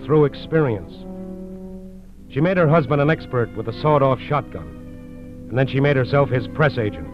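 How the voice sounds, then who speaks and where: An American man narrates a scripted 1940s crime broadcast. He is grave and lands short phrases with emphasis. Loud music plays behind him, and the old recording is slightly dull. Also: mid-range voice.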